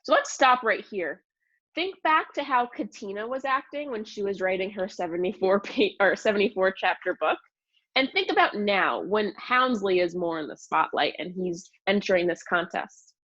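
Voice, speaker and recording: A woman teacher giving an expressive read-aloud lesson to young children, explaining and asking questions as she goes. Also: medium pitch, normal speed, highs cut off.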